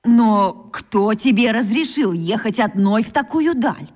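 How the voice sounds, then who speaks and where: An actress playing a reproachful mother in a radio drama, with a measured, reproving manner. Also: a little high, animated, darkish tone. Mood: surprised reproach. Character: reproachful mother, a junior research worker.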